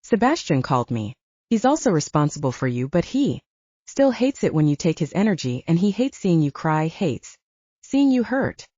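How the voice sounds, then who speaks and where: A woman's voice: sits low, very animated, normal speed, dark tone, dry recording, dull audio. A woman voicing a blunt, protective young male butcher who teases, in an audiobook. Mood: matter-of-fact. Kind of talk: audiobook character dialogue.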